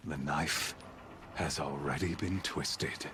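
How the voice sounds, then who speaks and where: An actor playing a cold, scheming male villain who taunts in a quiet, measured, half-whispered way in a video game cutscene. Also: extremely low pitch, very noisy. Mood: gloating.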